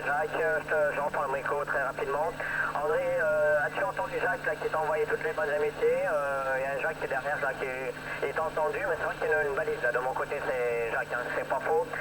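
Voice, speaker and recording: A man's voice: on the high side, steady tone, a little fast. A man chatting casually as an amateur radio operator over two-way radio, hesitant and repetitive, with frequent little tag words. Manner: hesitant, repetitive, tags phrases with "hein". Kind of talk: casual radio contact chat. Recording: very noisy radio transmission.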